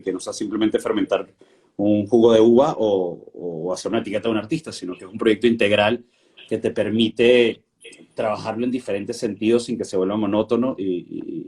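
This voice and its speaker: Low-voiced Venezuelan-born man, thoughtful. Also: a little mellow. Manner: thoughtful, many fillers and restarts. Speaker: guest, winery director. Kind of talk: relaxed conversational interview.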